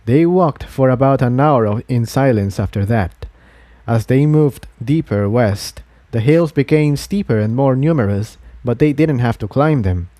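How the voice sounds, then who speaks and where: Male narrator, mellow tone, medium pitch, dry close sound, scripted story reading. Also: lively intonation, measured pace, no room sound. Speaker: fan fiction narrator.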